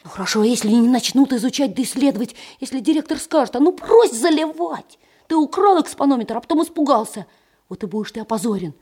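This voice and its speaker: An excitable, doubting schoolboy in a Soviet radio play for children. The voice is high and very husky, swinging widely in pitch as it exclaims incredulously. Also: female voice, fast. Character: excitable, sceptical young schoolboy sidekick.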